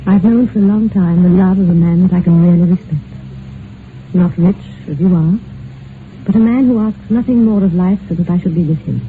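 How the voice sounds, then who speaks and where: A woman's voice: on the low side, fairly quick, close to an everyday voice. Casting: woman playing genteel young British wife, wistful yet cutting, vintage radio drama sound, thin band with faint hiss. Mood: solemn, resolute. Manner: genteel, poised, archly ironic. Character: proud, unhappy young wife of a rich husband, wistful and cutting. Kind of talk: old-time radio drama acting. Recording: tinny, noisy old radio recording.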